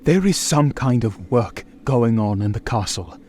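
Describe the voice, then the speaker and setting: An actor playing an anxious, imprisoned gentleman recounting horrors in a dramatic vintage radio style. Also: mid-range voice, lively intonation, a little slow, close to an everyday voice. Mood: grim, fearful. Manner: dramatic, haunted, emphatic. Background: dramatic music.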